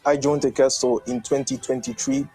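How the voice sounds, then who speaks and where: A man's voice: mid-range voice, little pitch movement, moderate pace, warm tone, husky, faint music behind. A young man speaking earnestly and reflectively in a testimonial. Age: young adult. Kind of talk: testimonial interview.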